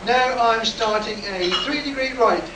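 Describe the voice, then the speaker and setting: A man's voice: extremely high pitch, measured pace. Man acting daring test pilot, distant and echoey. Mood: focused, tense. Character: male test pilot, daring, excited, reporting from cockpit. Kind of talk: amateur live radio play acting. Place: live stage at a convention. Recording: distant, echoey live hall recording with noise.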